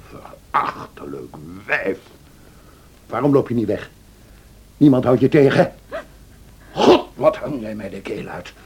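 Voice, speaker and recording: An actor playing a brooding, vengeful, passionate lover in a Dutch radio drama, intense and theatrical, by turns scornful and pleading. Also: male voice, on the low side, a little mellow, slightly rough, full character voice. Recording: noisy old radio play recording.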